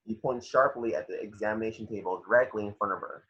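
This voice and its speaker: A man's voice: lowish voice, quick pace, fairly bright tone, breathy edge. A man narrating scripted action descriptions in an amateur table read, on a lo-fi home recording.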